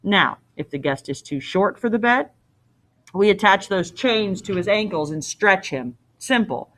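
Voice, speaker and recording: A big, smugly menacing storybook innkeeper, acted out by a woman, talks in a blunt, bossy way. His lines are matter-of-fact and lively in pitch. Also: unhurried. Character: big brutish innkeeper, menacing, smug, old man.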